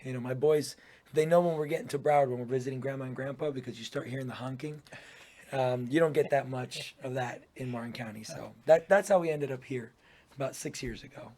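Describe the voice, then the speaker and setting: A man's voice: mid-range voice, a little fast, dark tone. A man in his thirties from Puerto Rico, a business owner chatting easily as an interview guest, laughing often and using plenty of fillers. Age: 30s. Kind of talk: casual interview chat.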